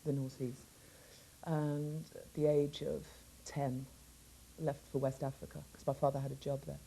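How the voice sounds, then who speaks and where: A middle-aged woman singer from England talking casually about her life in an interview, laughing often and letting her sentences trail off softly. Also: a bass voice, little pitch movement, measured pace, gravelly, a little hiss or hum, poor audio.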